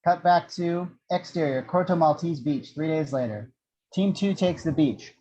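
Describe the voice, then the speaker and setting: Higher-voiced man narrating a screenplay. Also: normal speed, mellow. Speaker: action-description narrator. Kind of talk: scripted screenplay narration at amateur table read.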